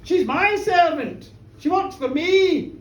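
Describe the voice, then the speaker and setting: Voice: medium pitch, very animated, normal speed, warm tone, roomy sound, recorded from a distance, natural voice. A storyteller playing an earnest, easily flustered Protestant minister, repeating words insistently in indignation. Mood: indignant.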